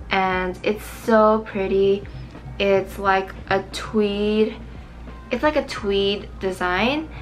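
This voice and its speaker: A young woman in her twenties talks casually to camera as she does her makeup. Her voice is a little hoarse and strained. Faint music plays underneath. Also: medium pitch, a bit bright, poor audio. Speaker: vlogger. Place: small bright room indoors.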